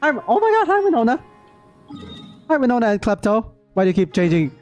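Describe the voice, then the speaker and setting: A man's voice: extremely high pitch, wildly swooping pitch, fairly quick, faint music behind. A man doing casual live game reaction commentary, chatting playfully with his viewers. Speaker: game streamer.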